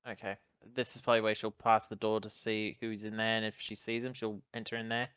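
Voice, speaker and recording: A man's voice: lowish voice, little pitch movement, a little fast, fairly bright tone, clean tone, no echo, lo-fi sound. A man chatting casually as a player in a tabletop game, describing his character's actions.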